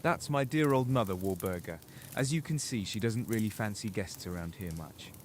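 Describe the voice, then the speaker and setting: A wry adult wizard, played by a man, welcoming and dryly sardonic. His low voice moves around a lot, in audio drama style with faint background noise. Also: expressive, moderate pace, darkish tone, lightly acted.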